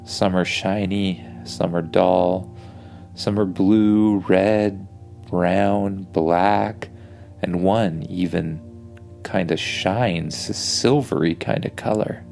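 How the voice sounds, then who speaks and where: A man's voice: deep voice, steady tone, slow pace, gravelly, darkish tone, faint music behind. A man telling an improvised bedtime story for kids in an informal, soothing way.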